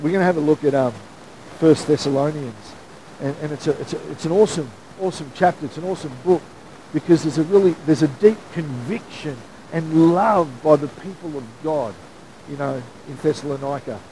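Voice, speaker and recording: A man preaching a sermon in a church with a loud voice, in a casual, joking manner with frequent restarts. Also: a little high, fairly quick, a little hiss or hum, low-quality recording. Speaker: pastor. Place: church hall.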